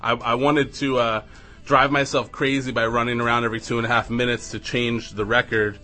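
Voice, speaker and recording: A man hosting a radio music show, chatting casually in a studio in a rambling, self-deprecating way with halting restarts and a slightly raspy voice. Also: mid-range voice, level tone, bright, forceful tone. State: raspy from coughing. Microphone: studio microphone.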